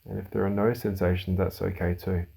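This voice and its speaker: Low, mellow man guiding a meditation. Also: gravelly, husky. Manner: calm, soothing, unhurried instruction with pauses. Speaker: mindfulness teacher leading a guided meditation. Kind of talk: guided meditation.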